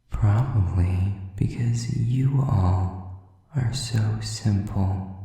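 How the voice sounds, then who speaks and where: Sadistic demon male actor, very dark low voice, breathy taunting whisper, contemptuous of humans, for audio roleplay, drenched in echo. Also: heavily acted. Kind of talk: whispered dramatic monologue to the listener. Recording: distant, very echoey, muffled sound.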